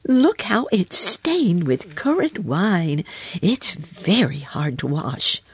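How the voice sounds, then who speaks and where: A woman's voice: lowish voice, very animated, slow pace, breathy edge, pitched above the performer's own voice, heavily acted. A woman voicing a stout, polite, fussy little hedgehog washerwoman in a children's storybook, chatty and deferential. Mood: fussy, eager.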